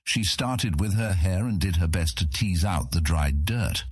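A man's voice: low-pitched, unhurried, husky, no room sound, clean studio sound. A man reading scripted fantasy narration as an audiobook narrator.

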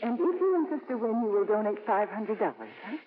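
An actress playing an eager, modest, polite nun with slightly foreign phrasing in a 1950s radio drama. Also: female voice, medium pitch, big pitch swings, brisk, close to an everyday voice. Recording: hissy, narrow-band 1950s radio recording.

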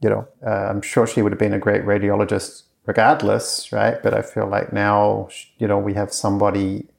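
Gravelly low-voiced man, chatting loosely. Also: little pitch movement, brisk. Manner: rambling, heavy on fillers and restarts. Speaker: course creator being interviewed. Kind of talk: casual conversation.

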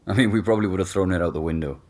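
A man playing a wry, casual former flatmate in an audio drama, talking in a joking, hesitant, offhand way. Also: deep voice, quick pace.

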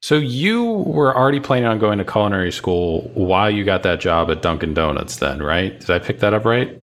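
A man's voice: fairly low pitch, big pitch swings, brisk, fairly bright tone. A man working as an interviewer, asking questions in a casual, conversational style. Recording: faint background noise, ambience.

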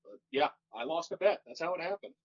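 A man who does stand-up comedy, talking with wry, deadpan storytelling in a fairly monotone voice. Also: on the high side, fast, highs cut off. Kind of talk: casual podcast chat.

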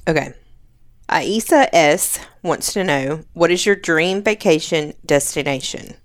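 A woman's voice: low-pitched, a little slow. A woman guest on a podcast, reading out listener questions and chatting casually. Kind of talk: casual chat.

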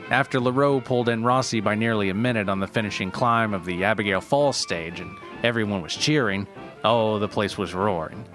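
A man's voice: fairly low pitch, fairly quick, a bit bright, faint music behind, lightly acted. A man playing an exasperated elderly race director, rambling and grumbling conversationally through a reminiscence in an acted interview. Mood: bitter recollection.

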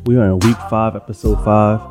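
Podcast host: nasal New York man with a lowish voice, casual and slangy, with a music bed behind him. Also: fairly even intonation, fairly quick. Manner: laid-back, slangy, loose. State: nasal from a sinus infection.

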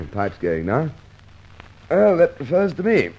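An actor playing a dry, shrewd police inspector in an old radio drama, questioning in a wry, probing way. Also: male voice, on the high side, huge pitch swings, slow pace, breathy edge, dull audio, exaggerated voice. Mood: amused, gloating.

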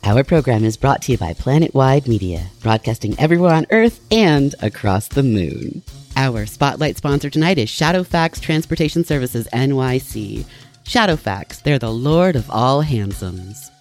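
A smooth announcer reading a sponsor spot in a radio drama. His mid-range voice is highly animated, with music playing behind it. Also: moderate pace. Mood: polished, promotional. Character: smooth male sponsor announcer of fictional broadcast. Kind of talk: scripted sponsor read.

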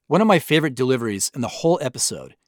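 An American man gives a director's commentary in a conversational, explanatory way. His pitch is in the middle range. The recording is very dry and free of room echo. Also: big pitch swings, rapid-fire. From Spokane Valley, Washington, United States. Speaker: show creator and sound designer.

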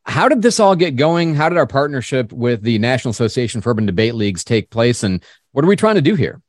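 A man's voice: mid-range voice, sing-song, fast talker, no room sound. Affirming male host, dry close mic. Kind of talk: hosting an interview.

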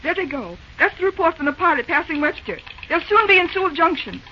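A woman's voice: very high voice, sing-song, quick pace. A woman playing an earnest, outspoken railroad office worker in an early radio drama, speaking with emphatic feeling. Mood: bitter. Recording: muffled, noisy old-time radio recording.

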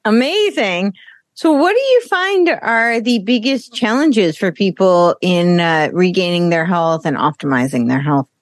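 A woman's voice: on the low side, lively intonation, a little slow, no room sound, clean studio sound. A woman hosting a podcast interview, asking friendly, inquisitive questions. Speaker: podcast host.

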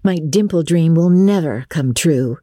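A woman's voice: deep voice, expressive, a little slow, mellow, no room sound, clean studio sound, voice pushed up. Woman playing an earnest, dreamy teenage girl, lilting. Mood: wistful, affectionate. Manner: earnest, wistful.

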